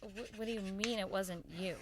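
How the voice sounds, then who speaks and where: A woman's voice: mid-range voice, fairly even intonation, normal speed, noisy background, natural voice. An actress playing an earnest, persistent woman who runs a radio show, in audio drama dialogue and first-person narration. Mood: earnest insistence.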